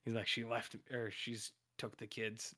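Hoarse raspy guy rambling thru a tv recap on a podcast, kinda bright sounding. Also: mid-range voice, monotone, a little slow. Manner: rambling with many fillers and restarts. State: hoarse, losing his voice. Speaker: podcast co-host. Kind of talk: casual chat recapping a TV show.

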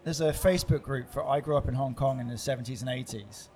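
A man co-hosting a podcast, chiming in with quick, chatty remarks. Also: medium pitch, a crowd in the background. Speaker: podcast co-host. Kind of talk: casual chat.

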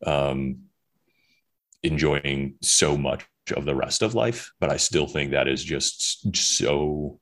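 A man's voice: very deep voice, slow pace, a bit bright. A young man talking casually as a podcast guest, rambling with many fillers and restarts. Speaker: guest author.

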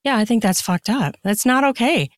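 A woman's voice: fairly high pitch, expressive, moderate pace, no echo, studio quality. A woman giving casual, conversational answers as an interview guest. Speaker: podcast guest, author.

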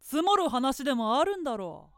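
A woman's voice: sits high, sing-song, normal speed, background noise. A woman playing a sly, self-assured, teasing museum boss in a radio drama, speaking in a lofty, amused, commanding way. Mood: cool, sly. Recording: studio drama with background music.

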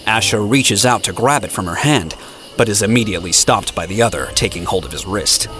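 Low-pitched male story narrator over music. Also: animated, fairly quick, fairly bright tone. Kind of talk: scripted dramatic story narration. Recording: music playing behind the voice.

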